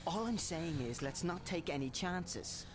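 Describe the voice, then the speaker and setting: A man's voice: fairly high pitch, animated, unhurried. A man playing a suspicious, hot-headed young rebel in a video game, insistent and distrustful. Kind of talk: video game cutscene acting. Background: background music.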